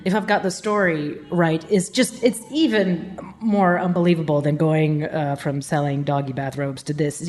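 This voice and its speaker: A woman's voice: lowish voice, a little mellow, slight background noise. A woman from Scotland hosting a podcast, asking chatty follow-up questions. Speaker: podcast host. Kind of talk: casual interview.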